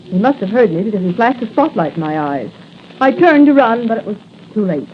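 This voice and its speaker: An actress playing a plucky, eager young newspaper reporter in 1940s radio style. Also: female voice, medium pitch, very animated, fairly quick, lightly acted. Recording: muffled noisy 1940 radio recording.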